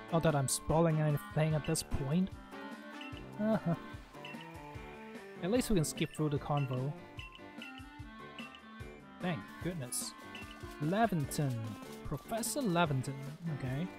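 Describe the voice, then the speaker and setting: A man's voice: fairly high pitch, measured pace, long pauses, over music. A man giving casual, rambling and blunt commentary as he hosts a gameplay video. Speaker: gaming video host playing and commenting.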